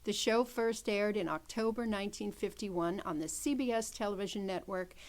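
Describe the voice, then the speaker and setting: A woman's voice: mid-range voice, normal speed, a little mellow. A woman presenting a theater show as its director, in a scripted introduction.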